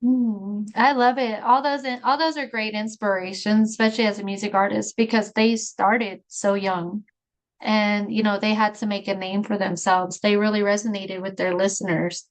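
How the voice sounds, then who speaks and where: A woman's voice: mid-range voice, level tone, moderate pace. A woman hosting a podcast, upbeat and encouraging as she talks with her guest. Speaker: podcast host. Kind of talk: casual interview chat.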